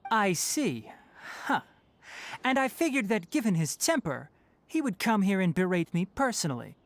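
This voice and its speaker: A woman's voice: medium pitch, big pitch swings, normal speed. A woman playing a polite but firm, guarded young male forest ranger in a video game. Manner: courteous, formal, firm.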